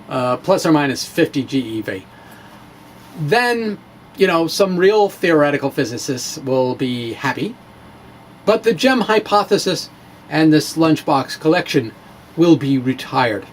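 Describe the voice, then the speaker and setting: A man's voice: fairly high pitch, a little hiss or hum. A man giving a dramatic reading of his own blog with casual asides, wry and playful with theatrical emphasis.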